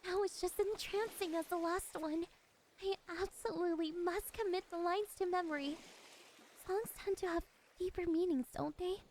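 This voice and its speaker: A high, feminine siren voice, acted out by a woman for an ASMR roleplay, with some noise behind it. She is lonely and curious, coaxing the listener wistfully. Beneath the politeness she is possessive and quietly menacing. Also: fairly even intonation, moderate pace. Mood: entranced, coaxing. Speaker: voice actress.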